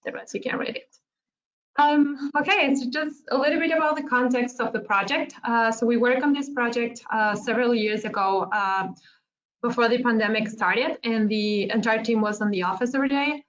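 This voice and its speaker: A woman's voice: on the high side, normal speed, a bit bright. A woman from Argentina presenting a webinar, friendly and hesitant, with many fillers. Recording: muffled lo-fi online call audio.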